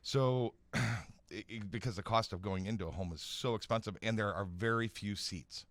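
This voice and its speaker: A man speaking informally as an expert guest in a radio interview, explaining haltingly, repeating phrases and often checking for agreement. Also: fairly low pitch, fairly bright tone, no room sound. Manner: hesitant explaining, repeats phrases, seeks agreement with "right?" and "you know". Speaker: guest expert on home accessibility. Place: radio studio.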